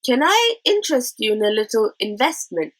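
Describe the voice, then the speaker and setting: A woman's voice: on the high side, sing-song, moderate pace, fairly bright tone, no room sound. A woman voicing an eager, silver-tongued male pirate chief for a storybook, with wheedling salesman patter.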